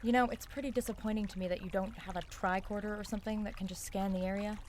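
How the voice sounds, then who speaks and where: An actress plays a young woman on the run in an audio drama. She is practical and sardonic, speaking in a natural, dry way at a medium pitch. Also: fairly even intonation, fast talker, darkish tone, a little hiss or hum, close to an everyday voice. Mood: wry, exasperated. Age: young adult. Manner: dry, sarcastic.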